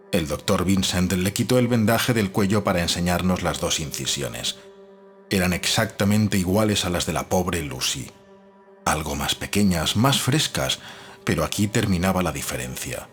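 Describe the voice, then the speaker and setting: Guy acting as a grave doctor reading his diary, really low voice, music underneath, audiobook drama.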